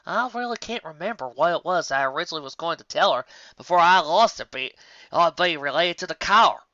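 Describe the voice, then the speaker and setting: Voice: medium pitch, lively intonation, fairly quick, pushed and bright, no echo, lo-fi sound, voice pushed up. A narrator voicing a level-headed, honest farm mare, a rueful elder sister pony in a storybook reading. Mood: rueful, puzzled.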